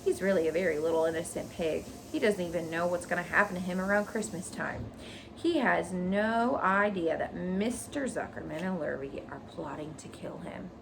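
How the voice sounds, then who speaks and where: A woman's voice: on the low side, normal speed, gravelly, background noise. A woman voicing a knowing mother goose in a children's storybook, in a confiding manner. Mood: knowing, amused. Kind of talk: children's storybook read aloud.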